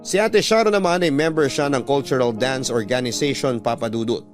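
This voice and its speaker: A man's voice: mid-range voice, brisk, close to an everyday voice. Wistful man narrating as a young student, over music. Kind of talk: radio love-story letter narration. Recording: music bed behind the voice.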